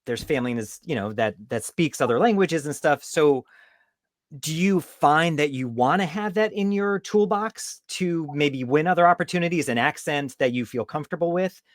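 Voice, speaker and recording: A man's voice: a little high, expressive, moderate pace, dry recording, studio quality. A man hosting a podcast, chatting casually and asking long, rambling questions in an affirming way. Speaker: podcast host. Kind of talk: casual interview chat.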